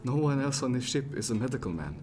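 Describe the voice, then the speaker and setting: An actor playing an anxious, frank ship's doctor of the 1710s in a radio drama, with formal old-fashioned phrasing and an earnest manner. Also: male voice, mid-range voice, animated, quick pace, close to an everyday voice. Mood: urgent. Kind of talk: serial radio drama acting, period seafaring. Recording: music behind the voice, plucked guitar.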